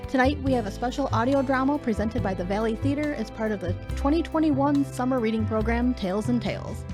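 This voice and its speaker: A woman's voice: on the high side, a little fast. A woman giving a scripted introduction to a programme as its host. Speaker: library events coordinator introducing a programme. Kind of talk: scripted announcement. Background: music under the voice.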